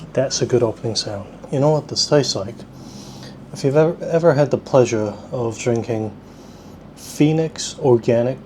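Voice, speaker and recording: Rambling male vlogger with clear background noise. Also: mid-range voice, darkish tone. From South Korea, at least partly. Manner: rambling, self-deprecating, thinking aloud. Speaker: vlog host. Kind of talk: casual food-tasting vlog chat. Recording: clearly noisy ambient background. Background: ambient noise.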